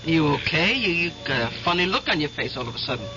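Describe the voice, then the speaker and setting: Chatty bar waitress in old radio drama, breezy n attentive, kinda muffled w music. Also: female voice, sits low, big pitch swings, fast. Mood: chatty, concerned.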